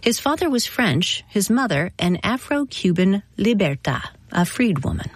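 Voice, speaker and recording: A woman hosting a classical music radio show, reading scripted introductions in a measured storytelling manner. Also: medium pitch, big pitch swings, slightly rough, dry recording.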